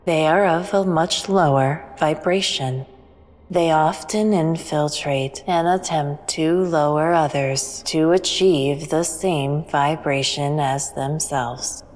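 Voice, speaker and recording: An aloof female alien played by a woman from a sci-fi audio thriller, cryptic and formal. The voice is deep for a woman and very unhurried, ringing in long reverb with music underneath. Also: darkish tone. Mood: grave, detached. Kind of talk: scripted sci-fi audio drama.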